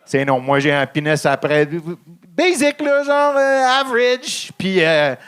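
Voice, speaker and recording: Young anglophone guy, Quebec accent, deadpan dark stand-up, slightly high voice, hesitant delivery. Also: clear, resonant tone. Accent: English-speaking Quebecer speaking French. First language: English. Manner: halting with many ums, deadpan dark jokes. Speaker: stand-up comedian. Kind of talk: stand-up set.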